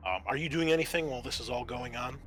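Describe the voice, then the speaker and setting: A man hosting as game master, narrating a tabletop roleplaying game in a halting, conversational way. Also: mid-range voice, fairly bright tone.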